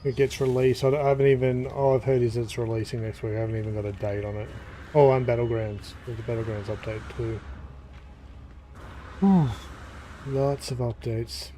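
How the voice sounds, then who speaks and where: A man's voice: medium pitch, fairly even intonation, normal speed, mellow, a little hiss or hum, rough recording. A man streaming a space exploration game, thinking aloud in a rambling, casual way with fillers. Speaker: gaming streamer. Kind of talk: casual gameplay commentary.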